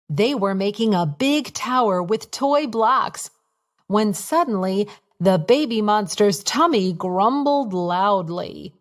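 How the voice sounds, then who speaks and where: Slow-paced woman narrating children's bedtime stories. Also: mid-range voice. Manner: expressive, simple storytelling for young children. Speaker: children's storyteller. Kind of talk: scripted bedtime story narration.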